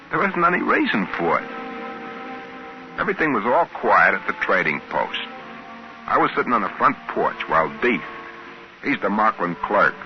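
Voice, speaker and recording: A man's voice: fairly low pitch, lightly acted. Actor as folksy trading-post man, old muffled radio. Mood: wry, storytelling. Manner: folksy, colloquial, ungrammatical. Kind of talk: 1950s radio drama acting, first-person narration and dialogue. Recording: dull, hissy 1950s radio recording.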